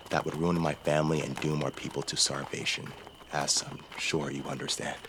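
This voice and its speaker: An actor playing a courtly, calculating young nobleman in a fantasy audio drama, speaking formally, deliberately and politely. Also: a bass voice, flat delivery, background noise. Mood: courteous, measured.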